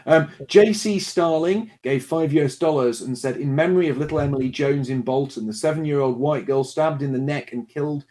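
A man hosting a livestream, briskly reading out donor messages and questions. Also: on the high side, warm tone. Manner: brisk, reads messages aloud with small laughs. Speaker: livestream host reading donations. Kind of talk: casual livestream chat.

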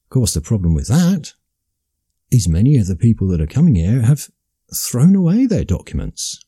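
A man's voice: mid-range voice, huge pitch swings, moderate pace, mellow, slightly rough, no echo, clean studio sound. A man giving an impassioned solo commentary, talking emphatically and often restarting his sentences.

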